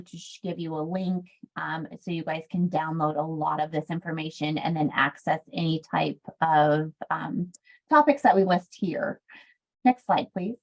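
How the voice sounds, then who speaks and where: A woman presenting slides informally in a webinar, conversational and helpful, often hesitating and correcting herself as she goes. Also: on the low side. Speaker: webinar presenter for a health care quality improvement organization. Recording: dull, lo-fi webinar audio.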